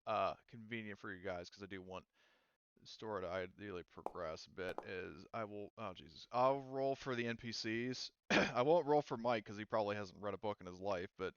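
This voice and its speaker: A man acting as game master, narrating a tabletop game casually and haltingly as he thinks aloud. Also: lowish voice, smooth, dull audio.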